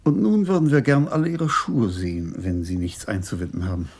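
Senior commissioner, radio crime drama: older, lowish rough-edged voice, formal, calmly interrogating. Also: male voice. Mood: firm, logical. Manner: measured, formal, probing. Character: composed, courteous but probing senior police commissioner. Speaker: police commissioner.

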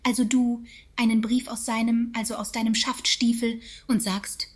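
A woman's voice: a little high, level tone, normal speed, a little mellow, breathy edge. A woman playing a synthetic AI voice that is casually chummy, soothing and patronising in a radio drama. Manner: soothing, over-understanding, patronising. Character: chummy female synthetic AI voice, sweetly preachy. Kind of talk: radio drama acting, casual therapy-speak.

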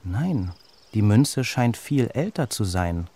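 A man voicing a gentle, encouraging mother with a coaxing manner in a children's story. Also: lowish voice, sing-song, slow pace, slight background noise, heavily acted. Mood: thoughtful.

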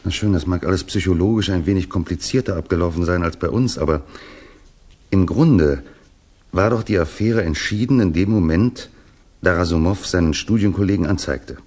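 An actor in a 1960s German radio drama playing a sober, sceptical questioner who talks in a matter-of-fact, conversational way. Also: male voice, deep voice, moderate pace, darkish tone.

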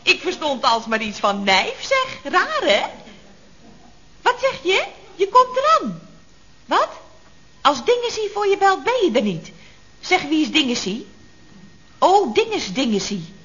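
Chirpy nosy office phone girl, high voice, teasing, old dull radio comedy recording. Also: sing-song, unhurried, pauses often, a bit bright, full character voice. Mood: surprised, puzzled. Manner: exclaiming, teasing, exaggerated intonation. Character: chatty, nosy, cheerful female office telephonist at builder's firm. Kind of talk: 1970s radio comedy acting.

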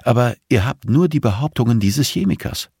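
A conscientious woman, shocked and disbelieving, in an audiobook thriller, voiced by a man. The pitch sits above the narrator's own, and the manner is earnest, questioning and incredulous. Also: expressive, a little fast, vocal fry, darkish tone, husky, no room sound, studio quality. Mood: appalled, challenging.

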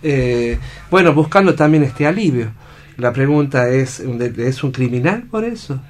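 An Argentine man leads a thoughtful radio discussion in a mid-pitched voice. He picks his way haltingly through his ideas and often tags on a short questioning word. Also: expressive. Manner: deliberate, halting, peppers talk with rhetorical check questions. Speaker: radio talk show host.

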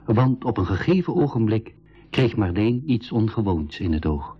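An actor narrating a travel adventure in the first person, in a measured, literary radio-drama style. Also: male voice, on the low side, very animated, muffled recording, heavy background noise. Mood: sober, foreboding.

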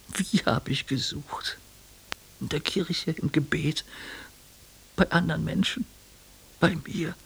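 A tormented, guilt-laden man at prayer in a radio drama. His voice is very husky and cracked with creak, and he confesses slowly and haltingly, as if death were close. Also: a little high, exaggerated voice, rough recording. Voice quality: husky, gravelly, breathy. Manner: slow, halting confession, often near whisper. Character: anguished, guilt-ridden man praying as death nears. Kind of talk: German radio play acting.

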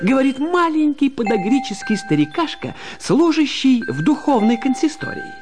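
A man's voice: extremely high pitch, very animated, normal speed. A man playing a wry storyteller, narrating a satirical literary tale for radio. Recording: music behind.

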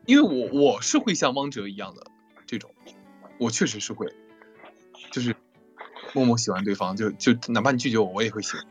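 A man's voice: mid-range voice, big pitch swings, brisk, pauses often. A man hosting a casual question-and-answer chat over an online voice call, often repeating and rephrasing his questions, with music behind him. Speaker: host of a cast chat about an audio drama.

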